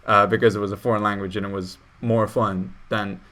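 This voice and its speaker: A man's voice: fairly low pitch, level tone, fairly quick, sharp tone. A young man whose first language is Russian, chatting casually as host, full of fillers and restarts. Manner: hesitant, many fillers and restarts. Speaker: channel host. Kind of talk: casual video call chat.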